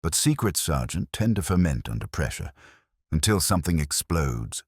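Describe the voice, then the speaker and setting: A mild, dry-witted police inspector, as a male reader puts him on: calm, measured and wry. His voice sits extremely low and is husky, yet it rises and falls freely. It is heard close and dry, with no room around it. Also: no echo, exaggerated voice.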